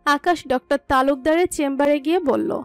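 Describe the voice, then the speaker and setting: Female storyteller, scripted romantic-drama narration, high voice, dry of nothing but faint echo-free music bed. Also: normal speed.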